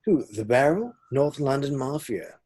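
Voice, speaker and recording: A man's voice: medium pitch, sing-song, measured pace, darkish tone, slight background noise. A man playing a crude, cheerful young slacker in an amateur comedy table read, with sweary, matey banter. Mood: mischievous, boastful. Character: crude, cheerful, loyal young male slacker best mate. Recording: home-recorded remote read.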